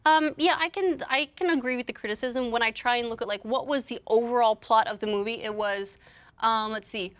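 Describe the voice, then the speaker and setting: A woman talking as a panelist in a casual analytical discussion, reasoning aloud in a measured way. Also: a little high. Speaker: talk show panelist.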